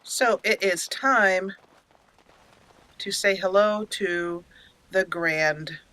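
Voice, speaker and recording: A woman's voice: medium pitch, very slow, sharp tone, poor audio. A woman hosting a gaming video, giving let's play commentary and roleplaying her character's lines.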